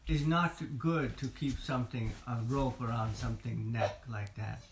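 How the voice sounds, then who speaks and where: A woman's voice: fairly even intonation, moderate pace. A woman voicing a kind, forgiving male adventurer in a bedtime story. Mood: reassuring. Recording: roomy, faint background noise, low volume.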